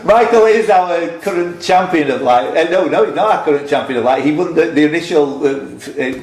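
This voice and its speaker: A man's voice: a little high, brisk. Male quiz host, mature, off-the-cuff and jokey, rambling delivery, roomy small-venue sound. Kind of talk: casual unscripted introduction and player interviews. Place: quiet room in a pub, indoors. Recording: echoey small room.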